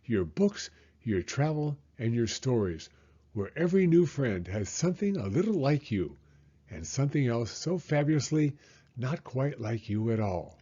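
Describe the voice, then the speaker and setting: Expressive man reading a children's story, mellow, slightly husky. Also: medium pitch, normal speed, muffled recording. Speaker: story time reader and host. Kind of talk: children's picture book read-aloud, scripted narration.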